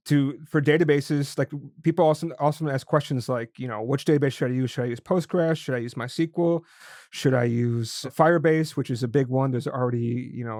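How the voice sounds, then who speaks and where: A man's voice: mid-range voice, a little mellow, dry recording. Man hosting, dry close sound. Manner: loose, thinking aloud with restarts. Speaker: podcast host. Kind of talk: casual interview chat.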